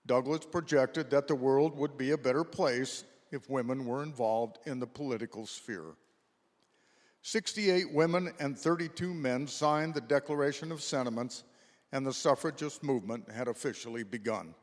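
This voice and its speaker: A man's voice: mid-range voice, normal speed. A man narrating a scripted history for a readers theater performance. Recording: echoey hall with long reverb.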